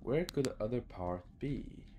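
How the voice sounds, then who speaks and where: A man's voice: medium pitch, animated, unhurried, dull audio, soft background music. A young man giving casual, sweary game commentary, talking to himself as he reacts. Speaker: gaming YouTuber. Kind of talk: casual horror game let's play commentary. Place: home room, indoors.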